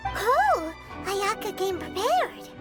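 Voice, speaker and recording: A woman voicing a tiny, excitable childlike fairy companion in an anime-style game, with a squeaky, perky delivery. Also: takes it slowly, heavily acted. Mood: chirpy. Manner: perky, refers to self in third person. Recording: music behind the voice.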